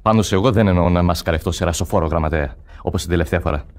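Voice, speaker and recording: An actor playing a sullen, insolent junior thief in a radio comedy, complaining. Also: male voice, deep voice, darkish tone, natural voice. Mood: grumbling. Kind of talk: classic radio comedy acting.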